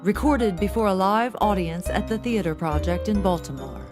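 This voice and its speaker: A woman's voice: mid-range voice, moderate pace. A woman announcer giving a scripted introduction to a radio theater production. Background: music.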